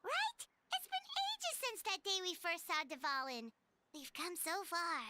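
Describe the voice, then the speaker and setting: A woman voicing a tiny, bubbly, childlike companion in an anime-style video game, with a squeaky, outspoken delivery. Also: sing-song, a little slow, very noisy, exaggerated voice. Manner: bubbly, speaks of herself in third person. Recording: loud game music behind the voice. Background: loud children's-style game music.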